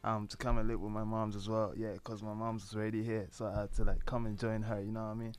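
A young man from Malawi chats in a radio studio. He has a smooth, resonant voice and talks in a loose, easygoing way, filling his answers with "like" and "you know what I mean.". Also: fairly low pitch, level tone, quick pace, darkish tone, faint music behind. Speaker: guest, musician. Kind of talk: casual interview answers.

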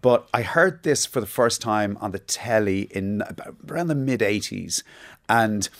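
A man's voice: on the low side, animated, a little slow. A man in his fifties, a chatty guest telling stories in an interview and laughing easily. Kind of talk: relaxed interview chat.

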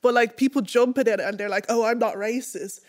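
A woman's voice: fairly high pitch, a little fast, darkish tone, dry recording, studio quality. A young woman born in Nigeria and raised in Ireland, speaking. She is an earnest guest who says "like" a lot. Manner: earnest, many "like"s.

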